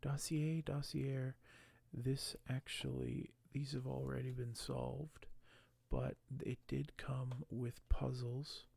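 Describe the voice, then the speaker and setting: Hushed ASMR man, gravelly and slow. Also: medium pitch, flat delivery, no echo. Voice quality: hushed, soft, often whispered. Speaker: ASMR video creator. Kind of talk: ASMR unboxing and reading aloud. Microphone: close microphone.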